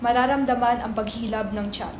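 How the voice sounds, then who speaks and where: A woman narrates stage directions for a dramatic reading. Her voice sits fairly high, in a scripted narrating style, with faint noise behind it. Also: steady tone, a little fast.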